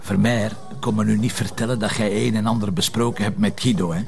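A grumpy Flemish police commissioner in a TV crime drama, speaking in a lowish, creaky voice. He is curt and sardonic, brushing people off, with light music behind him. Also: fairly even intonation, fairly quick, a little mellow. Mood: irritated, sarcastic. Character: grumpy, sarcastic police commissioner drinking whisky in a bar. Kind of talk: naturalistic TV police drama acting.

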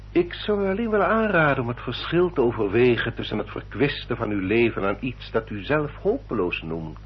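An actor playing a courtly, insistent gentleman suitor in a radio play, formal and emphatic. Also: medium pitch, natural voice. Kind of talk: Dutch radio play acting. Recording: dull, noisy old radio recording.

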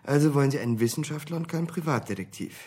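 An actor playing a businesslike office boss in a radio drama, matter-of-fact and polite, with a husky voice. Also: male voice, fairly high pitch, expressive, very fast, a little mellow, slight background noise, lightly acted. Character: businesslike male boss of an academic writing office.

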